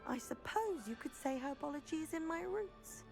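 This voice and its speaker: Teenage witch student, very high voice, lilting, friendly, chatty, proper, game dialogue. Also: lively intonation, moderate pace, gravelly, over music. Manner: cheerful, proper.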